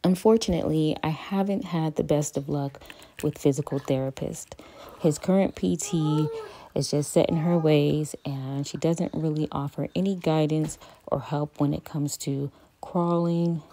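Low monotone woman with a gravelly voice. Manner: calm, even and matter-of-fact. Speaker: parent vlogger. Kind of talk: home therapy vlog, talking while working with her son. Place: small bright children's playroom indoors. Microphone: phone camera on a stand a few feet away.

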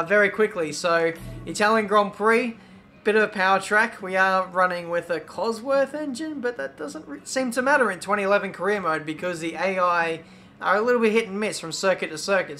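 A man with a British accent talking over his racing game in a chatty, excitable play-by-play, his voice a little croaky. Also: sits high, slight background noise. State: slightly croaky. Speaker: gaming YouTuber.

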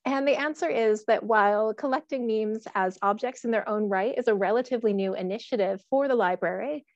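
A woman's voice: medium pitch, no echo. American woman presenting over a dry video call. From Pennsylvania, USA. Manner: friendly, steady, explanatory with fillers. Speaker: archivist giving a webinar talk. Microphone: headset earphones on video call. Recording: dull lo-fi video call audio.

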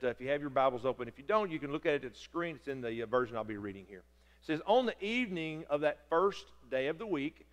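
A middle-aged man, preaching loudly and excitedly from a church pulpit, often stumbling over his words. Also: on the high side. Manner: loud, excitable preaching with repeated stammers. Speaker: pastor. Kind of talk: sermon. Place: large church sanctuary. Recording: long reverb of a church hall.

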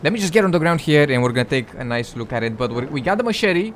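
A man's voice: fairly high pitch, lively intonation, fast, a little hiss or hum. A young adult man hosting a gaming video with casual, conversational gameplay commentary.

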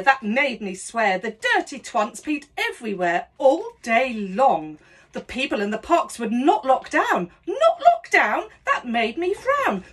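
A woman in her fifties with a high, lively voice plays a prim but cheeky schoolteacher, singing and laughing. She takes her time and gives her words a singsong swing. She is recorded close up on a phone or webcam in a small room at home. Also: slightly rough. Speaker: comedy host. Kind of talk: rude comic song and story parody. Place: small decorated room indoors.

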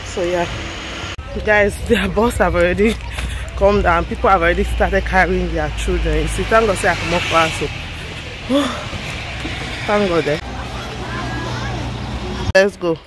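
A woman's voice: on the low side, a little slow, long pauses. Nigerian woman vlogging on a noisy street. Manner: talks to camera while walking, sighs and laughs often. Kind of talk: casual vlog narration. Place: outdoors on city streets. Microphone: phone held at arm's length.

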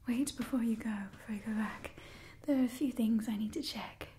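A young woman performing a whispered ASMR roleplay as an imperious, doting old vampire clan overseer, breathy and theatrical. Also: on the high side, fairly quick, dark tone, slight background noise, natural voice. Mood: imperious, pleased. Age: young adult.

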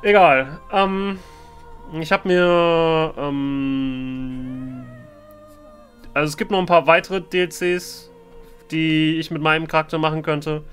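Clear-voiced, higher-pitched man rambling casually. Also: steady tone, takes it slowly, long pauses, fairly bright tone. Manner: rambling, hesitant, thinking aloud. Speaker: let's player, role-play gaming channel host. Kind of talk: casual let's play commentary. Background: game music.

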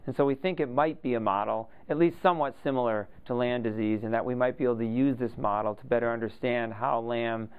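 A middle-aged man scientist talks through his research in a thoughtful, earnest manner. His voice is smooth and resonant, but the recording sounds thin and phone-like. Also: medium pitch, steady tone, fast talker, hardly any pauses. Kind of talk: explanatory interview to camera. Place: office indoors.

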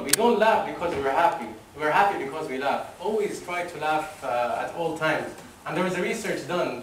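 Young guy from oman doing a motivational talk, lively, laughs a lot, very echoey lecture room, faint room hum. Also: fairly high pitch. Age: young adult. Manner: animated, laughs at his own stories, acts out quotes. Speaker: motivational speaker. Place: wood-panelled lecture room with a seated audience. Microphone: room mic at a distance while he walks the stage. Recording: echoey hall from far off.

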